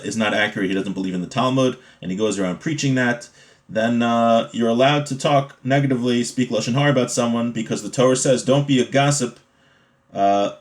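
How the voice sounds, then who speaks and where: Man teaching an informal religious law lesson. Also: medium pitch. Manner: explanatory, checks in with rhetorical questions.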